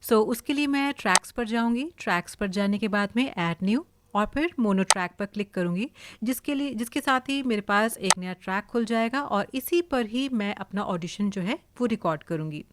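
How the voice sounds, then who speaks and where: A woman's voice: mid-range voice, lively intonation, moderate pace, background noise. An Indian-accented woman who works as a voice-over artist, explaining in a casual tutorial style.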